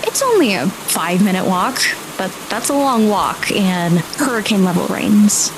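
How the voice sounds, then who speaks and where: A woman's voice: mid-range voice, sing-song, moderate pace, noisy background. Woman narrating a game over music. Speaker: game master. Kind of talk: scripted-sounding atmospheric tabletop narration.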